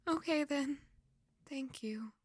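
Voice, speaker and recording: An actress playing a grieving, self-blaming young servant woman in an emotive, halting audio drama. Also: high voice, no echo. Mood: withdrawn, tired. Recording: music under the voice. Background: music.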